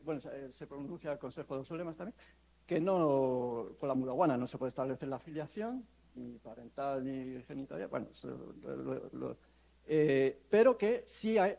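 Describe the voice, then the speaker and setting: A man's voice: fairly high pitch, dark tone. Hesitant man giving a conference talk. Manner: hesitant, many fillers and restarts. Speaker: law professor giving a panel talk. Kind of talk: academic conference presentation. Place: conference hall.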